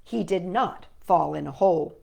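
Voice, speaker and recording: A woman's voice: on the low side, moderate pace, vocal fry, a little mellow. A woman hosting a storytime, reading children's stories and poems expressively and speaking directly to young listeners. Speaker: storytime host and reader. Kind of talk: children's story read-aloud.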